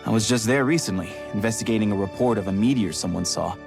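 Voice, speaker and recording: A man's voice: on the low side, fast, gravelly, over music. A man voicing a down-to-earth, helpful and cautious local miner in a video game, talking plainly and colloquially. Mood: helpful warning. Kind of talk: video game cutscene acting.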